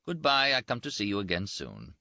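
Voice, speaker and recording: A man voicing a brash, teasing young Italian army surgeon in an audiobook, quick and boastful. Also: fairly low pitch, huge pitch swings, gravelly, bright, forceful tone, no room sound, lo-fi sound, pitched above the performer's own voice, exaggerated voice. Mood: affectionate. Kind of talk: audiobook character voice.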